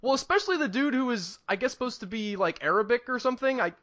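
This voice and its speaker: A man's voice: extremely high pitch, expressive, quick pace, fairly bright tone. A man co-hosting a film review podcast, chatting casually in an enthusiastic, emphatic way and rambling as thoughts come. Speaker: podcast co-host reviewing films. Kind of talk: casual movie review chat.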